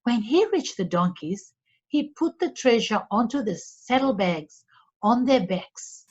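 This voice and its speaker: Slow, expressive woman reading a storybook. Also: fairly high pitch, breathy edge, lo-fi sound. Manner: deliberate, expressive read-aloud for children. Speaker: storyteller for a read-along. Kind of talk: scripted storybook narration.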